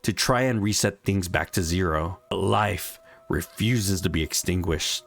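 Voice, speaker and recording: A man reading a scripted story recap in a measured, explanatory storytelling manner. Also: low voice, vocal fry, fairly bright tone. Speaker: narrator of a video game story recap. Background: background music.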